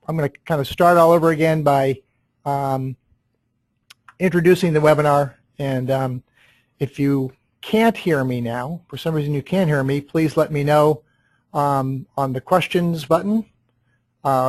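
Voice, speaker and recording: A man's voice: a little high, no room sound. A man hosting a live webinar, introducing the presenter in an apologetic, casual way with many ums. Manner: apologetic, filler-heavy, um-laden. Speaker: webinar host.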